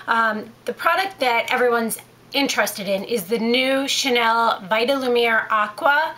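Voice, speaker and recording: A woman's voice: fairly high pitch, measured pace, bright, forceful tone, poor audio. Chatty woman with a head cold. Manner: chatty, rambling, self-correcting with many fillers. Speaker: beauty vlogger. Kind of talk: casual makeup review vlog.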